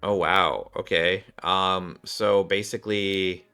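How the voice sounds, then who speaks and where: A man's voice: sits low, steady tone, slow pace, bright, forceful tone, clean tone, faint music behind. A man doing casual game commentary, thinking aloud in a rambling way, with a slightly worn voice. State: voice slightly off, recovering. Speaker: gaming streamer, host.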